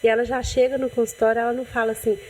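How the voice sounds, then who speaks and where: Fairly high-voiced Brazilian woman doctor. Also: very fast. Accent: Brazilian Portuguese. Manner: calm, explanatory, with frequent restarts and "né". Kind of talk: explaining on a TV health interview. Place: TV studio.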